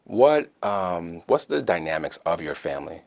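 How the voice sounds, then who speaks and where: Man, very deep voice, interview host, friendly, affirming, lots of okays. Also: sing-song, moderate pace, gravelly, darkish tone. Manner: friendly, affirming, frequent "okay" and "awesome". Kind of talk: scripted intro, then casual interview.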